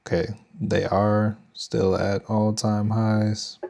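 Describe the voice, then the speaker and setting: Gravelly low-voiced Texan man. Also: flat delivery, takes it slowly, a little mellow. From Texas. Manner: thinks aloud, loose slangy talk to chat. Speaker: trading livestream host. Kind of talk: casual live market commentary.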